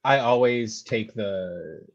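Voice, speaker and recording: A man's voice: lowish voice, animated, slow pace, muffled recording. A man chatting casually in an informal, rambling, sweary way. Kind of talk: casual chat.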